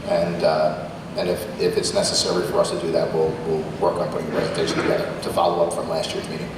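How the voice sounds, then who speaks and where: A man's voice: low voice, completely flat delivery, fast. A man chairing a school board meeting. He reads the procedural rules in a formal way and answers questions from the public. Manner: formal and procedural, with some restarts when answering. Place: large school auditorium. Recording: echoey auditorium sound from far off, noisy.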